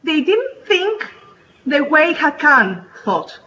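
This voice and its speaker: Woman, high voice, slow and halting, muffled call audio. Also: big pitch swings, bright, forceful tone, slight background noise. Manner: hesitant, many fillers and restarts. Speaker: English teacher and researcher. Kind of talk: panel discussion remarks.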